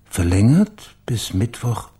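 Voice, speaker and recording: An actor in a German radio crime drama playing a polite, persistent investigator with a matter-of-fact way of asking questions. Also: male voice, fairly low pitch, sing-song, slow pace, mellow, slightly rough, heavily acted. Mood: admiring, deliberate. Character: male investigator posing as biographer, polite, probing.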